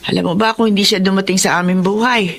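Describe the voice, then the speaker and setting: A woman's voice: mid-range voice, fairly even intonation, quick pace, close to an everyday voice. A woman playing a doting, emotional elderly grandmother in a Filipino radio drama, speaking expressively and affectionately. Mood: wistful.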